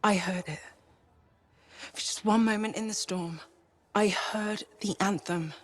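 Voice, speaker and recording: A woman's voice: medium pitch, measured pace, close to an everyday voice. An actress playing a haunted, wistful woman speaking earnestly, in a game cutscene. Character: haunted woman who once heard a mystic song, wistful. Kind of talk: video game cutscene acting.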